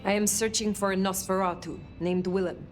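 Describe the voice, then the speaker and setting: An actress voicing a composed, formal elder female vampire investigator in a video game, measured and reserved. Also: medium pitch, fairly even intonation, faint music behind, lightly acted.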